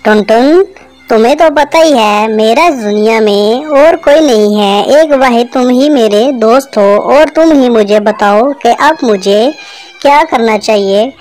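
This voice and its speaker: A woman's voice: fairly high pitch, measured pace, a little mellow, close to an everyday voice. A woman voicing a lonely, trusting young female crow in a cartoon moral story, speaking earnestly. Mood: earnest, uncertain. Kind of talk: animated moral story dialogue. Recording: music under the voice.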